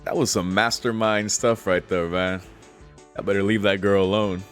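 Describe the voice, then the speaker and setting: Low-voiced man streaming with music behind. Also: fairly even intonation, moderate pace. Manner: exclamatory, slangy, swearing. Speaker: gaming streamer reading a visual novel aloud. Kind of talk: casual playthrough, reading aloud with off-the-cuff reactions.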